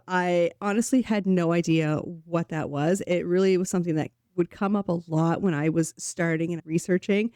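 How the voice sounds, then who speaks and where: A woman hosting her own channel, a little sick so her voice sounds slightly off, talking earnestly and encouragingly to camera and slowing down to stress key points. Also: fairly low pitch, dark tone, no room sound, clean studio sound. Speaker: host. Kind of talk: solo talk to camera.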